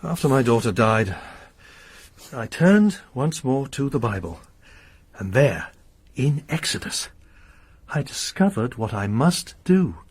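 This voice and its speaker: An actor playing a grim, pious lodger, a grieving father bent on revenge, who talks in a formal, preaching way with a husky voice. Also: medium pitch, very animated, slow, long pauses, heavily acted. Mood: brooding. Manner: formal, sermonizing, quotes scripture. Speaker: lodger under arrest. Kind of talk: radio drama acting.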